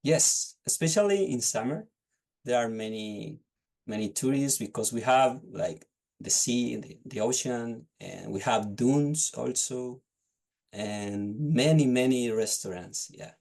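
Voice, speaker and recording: Slow gravelly young man with a Chilean accent. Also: mid-range voice, a little mellow. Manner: hesitant, restarts phrases while searching for words. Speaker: test candidate. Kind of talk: unscripted speaking-test answers. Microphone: video call.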